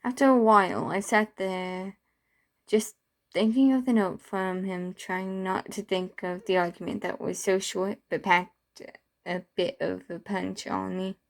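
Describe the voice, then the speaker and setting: A woman reading her own first-person story aloud, halting and sometimes stumbling over the lines. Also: medium pitch, fairly even intonation, vocal fry.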